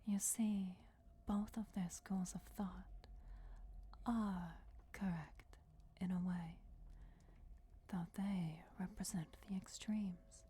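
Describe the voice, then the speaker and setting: A woman voicing an ancient, regal and patient vampire deity in a soft, breathy whisper, formal and deliberate, for a fantasy ASMR roleplay. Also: mid-range voice, fairly even intonation, close to an everyday voice. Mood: solemn, patient. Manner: slow, deliberate, formal, measured. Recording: clear background noise, crypt ambience. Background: crypt ambience.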